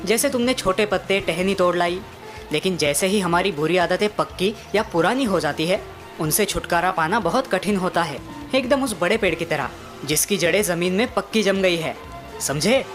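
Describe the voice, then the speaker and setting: A woman's voice: on the low side, normal speed, soft background music, close to an everyday voice. A woman voicing a wise, patient uncle teaching a lesson in a moral story. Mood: patient, instructive.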